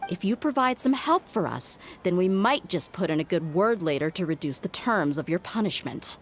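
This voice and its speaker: A woman's voice: on the low side, animated, normal speed, faint music behind, lightly acted. A woman playing a blunt, tough mercenary. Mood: stern. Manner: blunt, commanding, threatening. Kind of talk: game cutscene voice acting.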